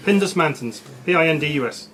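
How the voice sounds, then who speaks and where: A man's voice: on the high side, lively intonation, a little fast, a bit bright. A man reading out quiz questions as quizmaster and ruling on the answers. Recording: music playing behind the voice. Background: music.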